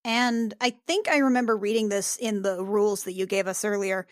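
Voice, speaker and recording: Woman tabletop player, medium pitch, casual delivery and read-aloud, very dry recording. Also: steady tone, normal speed, no room sound.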